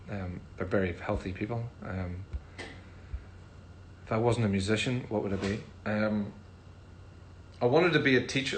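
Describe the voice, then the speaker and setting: Northern Irish musician, middle-aged man, laid-back fan Q&A, slightly low voice. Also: slight background noise, low-quality recording. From Northern Ireland. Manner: relaxed, chatty, frequent ums. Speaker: musician answering fan questions. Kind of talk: casual livestream Q&A.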